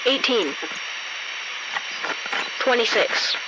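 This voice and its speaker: A woman's voice: on the high side, animated, extremely slow. A woman playing an eerie child who reads out in a hollow, emotionless voice with precise diction through a radio filter. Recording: loud radio static.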